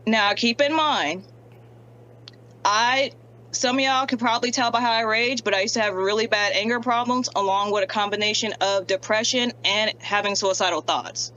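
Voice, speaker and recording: A woman's voice: medium pitch, normal speed, muffled recording, slight background noise. A woman in her early twenties, a streamer, speaking frankly and steadily as an interview guest. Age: early 20s. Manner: frank, plain-spoken, steady. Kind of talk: candid interview talk.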